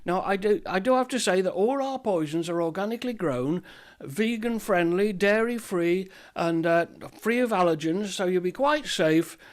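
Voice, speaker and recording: A man's voice: sits high, expressive, moderate pace, pitched above the performer's own voice. A man voicing a fussy, chatty male apothecary who cheerfully sells poisons with eager sales patter. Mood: chirpy, salesy.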